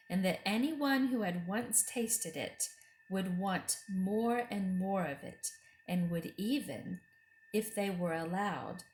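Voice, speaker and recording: A woman reading a children's book aloud as an expressive storyteller. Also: mid-range voice, a little hiss or hum. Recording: roomy echo.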